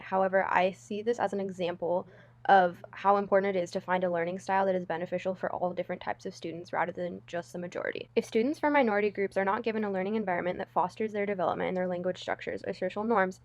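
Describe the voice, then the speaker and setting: A woman's voice: mid-range voice, fairly even intonation, quick pace. A young American woman reading a scripted personal statement as a student. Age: young adult. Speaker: student speaker.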